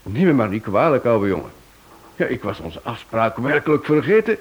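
An actor playing a brisk, affable detective who bluffs easily, in a Dutch radio play. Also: male voice, mid-range voice, big pitch swings, highs cut off.

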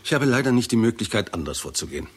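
An actor playing a blunt, skeptical scientist in a 1960s German radio drama, speaking with dry precision. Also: male voice, lowish voice, sing-song, racing, husky, heavily acted. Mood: dry, scornful. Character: blunt, skeptical British scientist, scornful of politicians.

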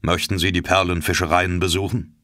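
A stern, secretive submarine captain speaks in a low, measured voice, as a man reads the part in an audiobook. The deep tone stays calm and unhurried. The sound is close and dry. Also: dry recording. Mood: calm, cool.

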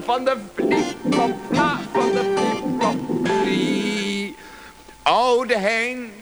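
A man playing a whimsical balladeer, reciting nonsense verse in a drawn-out sing-song. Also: very high voice, heavily acted, low-quality recording. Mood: whimsical, mournful. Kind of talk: comic recitation over music. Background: loud music.

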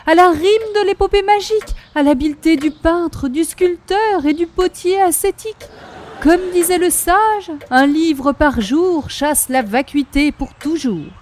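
A woman's voice: very high voice, measured pace. A woman playing a grandiose society lady crusading for culture in a comic radio play, effusive and flowery. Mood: grandiose, earnest. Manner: theatrical, effusive, flowery speech. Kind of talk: comic radio play in 1930s-40s style.

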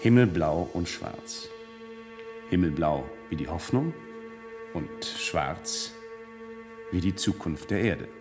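An actor playing a self-assured, coolly cynical space colony insider with a deliberate delivery in a 1970s radio play. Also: male voice, sits low, expressive, over music, full character voice. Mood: proud.

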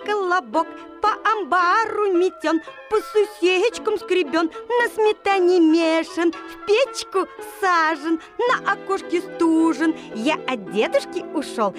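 A cheeky runaway bun from a storybook audio play, squeaking its bragging song at a slow, bouncy lilt. The voice sits extremely high and swoops up and down. Soft music plays underneath. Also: female voice, exaggerated voice. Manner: sing-song chanting. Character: small runaway bun, boastful and cheerful. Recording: music playing behind.

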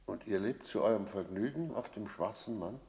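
An actor playing a cynical, brooding innkeeper in a German radio play, reflective and skeptical. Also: male voice, lowish voice, normal speed, a little mellow, breathy edge. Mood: skeptical, challenging. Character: cynical male innkeeper, brooding first-person narrator.

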